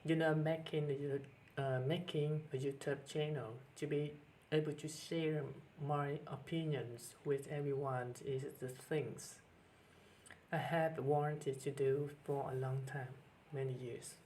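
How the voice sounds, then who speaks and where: A middle-aged man with a Vietnamese accent, speaking earnestly to camera as a teacher with many pauses and restarts. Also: fairly high pitch, fairly even intonation, darkish tone, slight background noise, poor audio. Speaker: teacher and channel host. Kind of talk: personal talk to camera.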